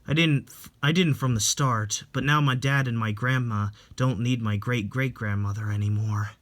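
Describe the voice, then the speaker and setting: A man playing a calm, gentle young married man in a dramatic reading, soft-spoken and reflective. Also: medium pitch, normal speed, close to an everyday voice. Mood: wistful, explanatory.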